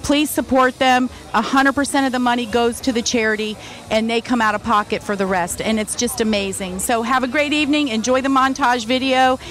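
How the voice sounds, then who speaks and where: A woman's voice: fairly high pitch, steady tone, a little fast, a bit bright, soft background music. A woman organizing the event, speaking cheerfully and invitingly to the camera. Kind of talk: casual remarks to camera.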